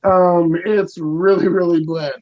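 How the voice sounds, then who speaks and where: A man tells a rambling stand-up story over a video call, loud and poking fun at himself. His voice sits fairly high. It comes through a home call mic. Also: steady tone, takes it slowly, no echo, lo-fi sound. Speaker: stand-up comic in residence. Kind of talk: stand-up set over a stream.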